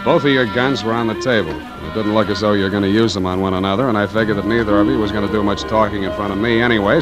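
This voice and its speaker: An actor playing a wry, deadpan private detective in a 1940s radio noir, narrating his case and trading clipped wisecracks. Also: lowish voice, natural voice. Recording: vintage radio recording with music.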